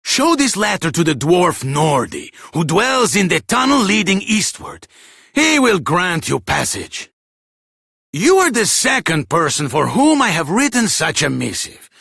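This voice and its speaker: Flamboyant, gravelly fantasy king. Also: high-pitched, big pitch swings, a little slow, sharp tone, no echo, studio quality, full character voice. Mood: solemn, stately. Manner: pompous, florid, dramatic delivery. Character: grand, theatrical, generous king rewarding a hero. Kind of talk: scripted fantasy game voice acting.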